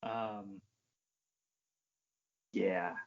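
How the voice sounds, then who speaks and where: A man's voice: on the low side, steady tone, extremely slow, dull audio. A man in casual podcast chat, co-hosting with sweary, mock-aggrieved rants. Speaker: podcast co-host.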